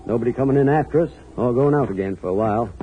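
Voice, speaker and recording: An actor playing a folksy but sinister New England hotel keeper with a clipped drawl, in a 1940s radio thriller style. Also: male voice, medium pitch, rapid-fire, a little hiss or hum, lightly acted. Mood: menacing, commanding. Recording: muffled old radio recording.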